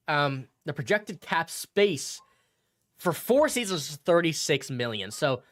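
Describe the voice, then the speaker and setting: A slightly nasal man, a bit under the weather, talks through a casual livestream and answers viewers' comments. His pitch sits a little high and swings a lot. The sound is dry and close, with no room echo. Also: fairly bright tone. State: a bit sick. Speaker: sports channel host.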